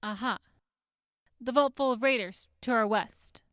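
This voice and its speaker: Deliberate, high-voiced woman prosecutor. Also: fairly bright tone, no echo, clean studio sound. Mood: probing, measured. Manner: deliberate, pointed, formal questioning. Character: composed, probing woman prosecutor in a wasteland town court. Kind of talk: audio drama courtroom acting.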